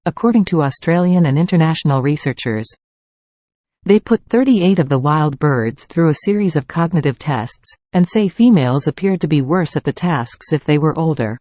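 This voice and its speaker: A man's voice: a little high, mellow, no room sound. A man reading an informational practice passage aloud from a script. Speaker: reader of English test practice passages. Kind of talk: scripted read-aloud narration.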